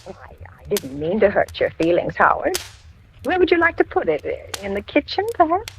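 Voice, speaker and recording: A woman's voice: medium pitch, big pitch swings, a little fast. An actress playing a kindly, nervous middle-aged housewife in 1940s radio style, motherly, hesitant and placating. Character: kindly, nervous older housewife, widening unease. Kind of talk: 1940s radio acting, with first-person narration. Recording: noisy old radio recording with clicks.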